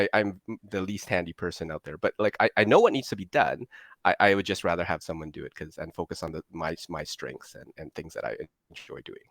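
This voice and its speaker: Deep-voiced upbeat man, dry sound. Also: a bit bright, no echo. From Indonesia. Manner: upbeat, stammering restarts, self-deprecating. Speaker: guest entrepreneur. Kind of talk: interview conversation.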